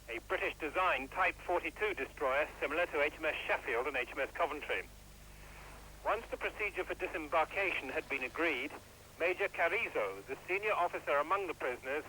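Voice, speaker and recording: A man's voice: fairly high pitch, sharp tone, slight background noise, rough recording. A man delivering a scripted, descriptive news report as a correspondent. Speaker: news correspondent aboard ship.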